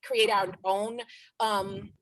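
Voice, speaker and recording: A woman's voice: mid-range voice, level tone, drawn-out words, a little hiss or hum, low-quality recording. A woman voice actor chatting casually and animatedly on a video call from her home. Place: home in Los Angeles.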